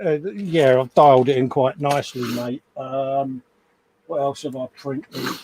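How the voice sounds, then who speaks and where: A man's voice: medium pitch, fairly even intonation, a little slow, mellow, a little hiss or hum, rough recording. A man co-hosting a live hobby stream, chatting casually about his models and reading out viewer comments. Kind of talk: casual chat.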